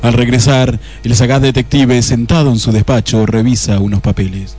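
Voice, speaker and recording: A man's voice: mid-range voice, fairly even intonation, moderate pace, a little mellow, faint music behind, natural voice. A man playing a solemn, lurid narrator in a noir parody radio play, melodramatic and lingering over his phrases. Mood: wry, storytelling. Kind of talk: scripted dramatic narration.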